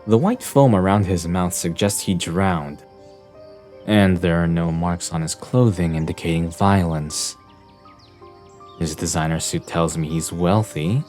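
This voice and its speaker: A man playing an aloof, eccentric young detective in an anime dub, with a deadpan, theatrical delivery and a weary air. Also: sits low, unhurried, faint music behind. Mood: assured.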